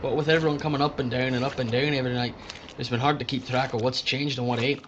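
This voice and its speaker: Casting: male actor as uneasy, colloquial British working-class railwayman for radio play, mid-low male voice, faint noise. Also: steady tone, fast talker, muffled recording, lightly acted. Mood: defensive. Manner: colloquial, respectful. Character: deferential, plain-spoken male underground station worker, uneasy. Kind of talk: audio drama acting.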